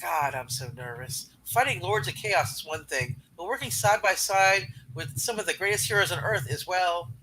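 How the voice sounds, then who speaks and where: Man as high-voiced, earnest female superhero. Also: moderate pace, hardly any pauses, sharp tone, a little hiss or hum, poor audio. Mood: earnest, nervous. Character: nervous, earnest young female superhero hoping to prove herself. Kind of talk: amateur comic book dramatic reading.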